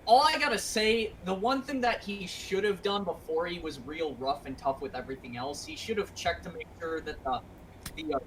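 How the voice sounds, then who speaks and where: A woman's voice: fairly low pitch, normal speed. A woman explaining things in casual group chat, blunt and matter-of-fact, with emphatic swearing. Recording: noisy home microphone.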